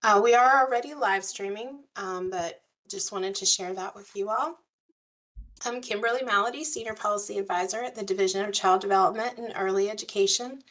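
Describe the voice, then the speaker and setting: A woman, hoarse from a cold, facilitating an online work group meeting in a friendly, procedural way. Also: medium pitch, brisk, fairly bright tone, poor audio. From US South. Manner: friendly, procedural, uses y'all. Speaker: meeting facilitator, policy advisor. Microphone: video call.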